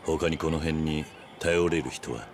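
A man acting a stoic, guarded but protective yakuza in a Japanese video game drama, speaking in a terse, gruff, blunt way. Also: extremely low pitch, measured pace, crowd noise, noisy background. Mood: guarded, serious.

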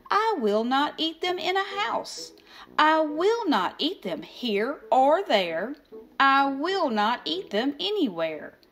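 A woman's voice: high-pitched, fairly bright tone, exaggerated voice. A woman as a grumpy, sing-song storybook grouch. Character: grumpy, stubborn storybook grouch refusing food, exasperated. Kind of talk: expressive children's picture book read-aloud.

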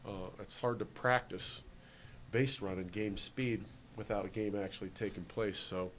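A man's voice: fairly low pitch, fairly even intonation, normal speed, fairly bright tone, a little hiss or hum. A man in his forties with a Canadian accent, a baseball manager answering postgame questions in a plain, matter-of-fact way in an indoor hallway. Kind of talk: postgame press interview. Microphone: handheld reporter mic.

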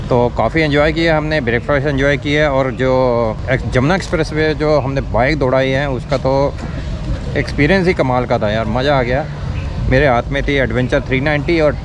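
A middle-aged man chats straight to his viewers in a casual vlog. He is recorded outdoors on a close action camera. Traffic and vehicle noise stay clearly audible behind him. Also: mid-range voice. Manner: chatty, addresses viewers directly. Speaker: motorcycle vlogger. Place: outdoors at a mall go-kart track. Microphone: action camera held close or helmet-mounted.

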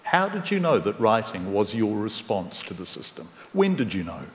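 A man interviewing a guest on stage who reads passages aloud in a steady, earnest voice. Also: fairly low pitch, normal speed. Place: live event in a hall. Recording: distant mic in a reverberant hall.

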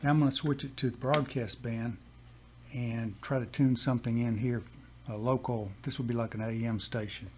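A man in his seventies or older giving a casual, unscripted hands-on demonstration in a halting, plain-spoken way. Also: medium pitch, fairly even intonation. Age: 70s or older. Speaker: hobbyist demonstrating a vintage radio. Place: basement room with a desk. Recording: dull home recording, loud radio hiss.

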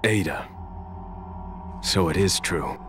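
An actor playing an earnest, tough young government agent in a video game, serious, dry and understated. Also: male voice, deep voice, takes it slowly, fairly bright tone, a little hiss or hum. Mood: tense, questioning. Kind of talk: video game cutscene acting.

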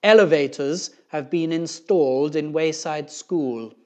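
Loud, officious school principal, acted by a man. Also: on the high side, a little slow, pitched above the performer's own voice, close to an everyday voice. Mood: officious, emphatic. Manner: emphatic, announcing. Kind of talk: children's storybook character reading.

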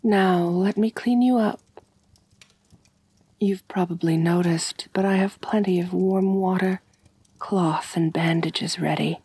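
A woman playing a refined, kind but possessive vampire mistress in a soft, intimate ASMR roleplay, gentle and doting, often near whispering. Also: lowish voice, steady tone, slow pace, no room sound, heavily acted. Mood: doting, soothing. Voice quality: breathy.

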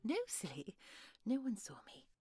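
A woman's voice: mid-range voice, very animated, normal speed, mellow, breathy edge, faint music behind. A woman playing a sweet, genteel young lady in a fantasy audio roleplay, polite, bubbly and easily flustered.

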